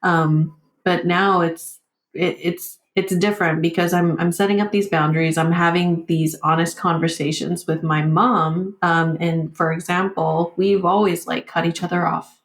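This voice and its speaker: A woman whose first language is Vietnamese, talking candidly and reflectively in an interview, halting and often saying "like" and "you know". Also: lowish voice, fairly even intonation, reverberant. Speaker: podcast guest.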